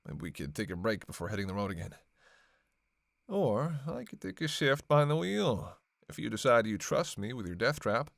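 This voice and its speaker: A man's voice: medium pitch, very animated, normal speed, gravelly, no room sound, clean studio sound. A man playing a snarky, teasing vampire captive in a roleplay audio drama, talking with sardonic, flirtatious banter. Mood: playful, bantering. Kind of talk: roleplay audio drama, one-sided dialogue.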